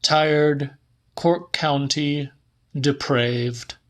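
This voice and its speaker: A man's voice: a little high, fairly even intonation, drawn-out words, a bit bright, pitched above the performer's own voice. A man voicing a laconic, sardonic pupil in an audiobook, speaking tersely and dryly. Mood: deadpan, terse.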